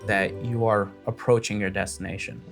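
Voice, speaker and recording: A man's voice: fairly low pitch, flat delivery, normal speed, creaky, over music. A man narrating a tabletop fantasy game as the game master.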